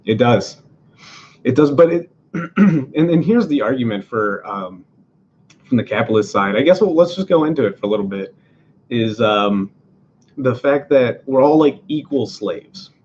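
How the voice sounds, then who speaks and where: A man's voice: fairly low pitch, a little mellow, husky, dull audio. A man from Venezuela talking casually about politics as a podcast host, rambling through many fillers and restarts in his apartment.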